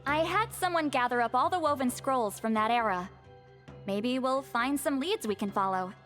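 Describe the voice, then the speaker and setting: A woman voicing a composed, thoughtful female scholar in a video game, speaking in a measured, articulate way. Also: high voice, lively intonation, fairly bright tone, faint music behind. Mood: reassuring, calm. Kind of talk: video game voice acting, scripted dialogue.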